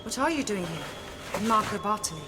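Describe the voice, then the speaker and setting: A woman's voice: mid-range voice, lively intonation, moderate pace, background noise, lightly acted. A woman playing a tough adventurer in a video game, with a cool, clipped manner. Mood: cool, questioning. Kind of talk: video game cutscene acting.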